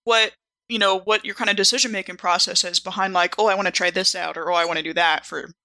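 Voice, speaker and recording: Female podcast player, strikingly bright, forward voice, close dry sound, informal chatty delivery. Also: mid-range voice, fairly even intonation, fast talker, no room sound. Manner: informal, sprinkles speech with "like". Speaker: podcast cast member and player. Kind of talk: casual chat.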